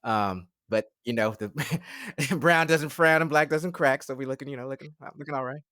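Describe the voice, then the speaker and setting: A man's voice: mid-range voice, normal speed, pushed and bright, no echo, studio quality. A man in his forties co-hosting a podcast, asking long, rambling questions with fillers and easy laughs. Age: 40s. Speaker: podcast co-host. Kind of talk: casual podcast interview.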